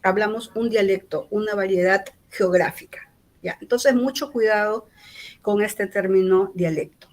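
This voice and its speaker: A lecturer from Peru speaks with a Lima accent. She explains each point patiently, often pausing on fillers and tag questions to check that her listeners follow. Her voice sits at an ordinary middle pitch for a woman. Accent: Lima Spanish. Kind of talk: university lecture.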